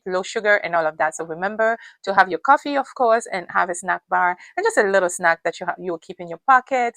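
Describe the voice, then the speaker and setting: A woman's voice: mid-range voice, a bit bright, dry recording. A woman, a nurse whose first language is not English, giving emphatic casual advice with many fillers and repeated points.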